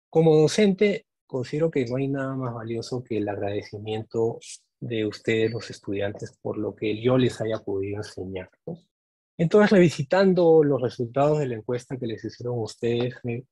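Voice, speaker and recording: Earnest husky Peruvian man addressing students. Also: mid-range voice, a little mellow, dry recording. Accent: Peruvian Spanish. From Peru. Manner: earnest, reflective, frequent tag questions. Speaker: medical teacher giving a speech. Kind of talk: prepared speech to graduating students. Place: indoors, conference room. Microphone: podium microphone.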